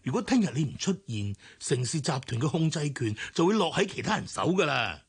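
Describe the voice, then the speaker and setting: A husky, rough-edged voice with a lively, swinging pitch, a worried middle-aged director who keeps sighing and urging. He speaks with a Hong Kong Cantonese accent in a radio drama. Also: a little fast, heavily acted. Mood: urgent, pleading. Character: anxious, pleading older company director.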